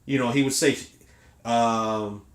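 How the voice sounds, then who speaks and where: A man's voice: medium pitch, fairly even intonation, slow. A middle-aged man hosting a casual podcast, talking as an emphatic fan. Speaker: podcast host. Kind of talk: casual chat about an animated series. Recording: roomy echo.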